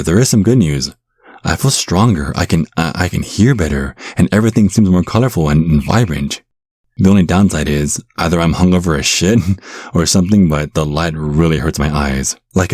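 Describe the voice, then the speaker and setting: Low-voiced young man played by an actor. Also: a little mellow, no room sound, studio quality. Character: giddy, rattled young man, newly bitten by a vampire. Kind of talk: audio drama narration and phone dialogue.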